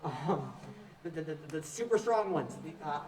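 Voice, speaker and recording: A man's voice: a little high, expressive, normal speed, natural voice. A young man acting an anxious, awkward, hesitant student who stammers, in a stage play. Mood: nervous, rambling. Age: young adult. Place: indoor stage, recorded from afar. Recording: distant, echoey stage recording.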